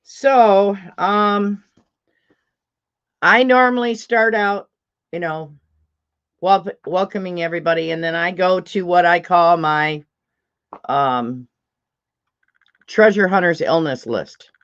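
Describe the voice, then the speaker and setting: Deep-voiced woman, middle-aged, casual livestream host, slow delivery, muffled audio. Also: a bit bright, clean tone. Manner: chatty, laughs often, reads chat comments aloud.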